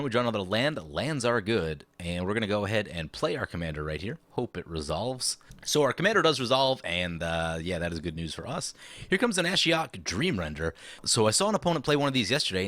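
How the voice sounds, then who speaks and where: A slightly hoarse man commenting on a card game he is playing, thinking aloud through his moves in a chatty, explanatory way. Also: fairly low pitch, pushed and bright, no echo. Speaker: channel host.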